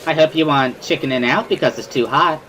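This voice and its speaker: A woman voicing a bubbly, excitable, teasing teenage schoolgirl in an amateur visual novel reading. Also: low voice, brisk, slight background noise, poor audio. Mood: playful urging. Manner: playful, exclamatory, repeats words, laughs loudly.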